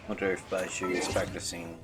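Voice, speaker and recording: Very deep-voiced monotone man. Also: a little fast. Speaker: game streamer. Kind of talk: casual live commentary over gameplay. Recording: loud game music behind. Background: video game music.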